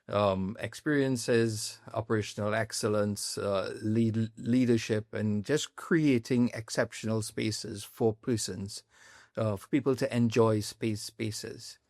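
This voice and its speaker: Trinidadian man, polite and measured. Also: fairly low pitch, no echo, clean studio sound. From Trinidad and Tobago. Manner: polite, measured, frequent ums. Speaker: guest. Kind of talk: podcast interview.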